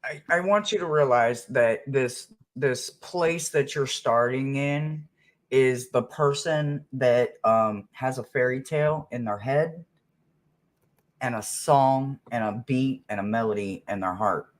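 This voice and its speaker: A man delivers a tarot reading at a slow, measured pace in a mid-range voice. He speaks with emphasis, leaving dramatic pauses and often tagging his points with a check for agreement. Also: mid-range voice. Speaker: tarot reader. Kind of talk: spoken tarot reading to viewers.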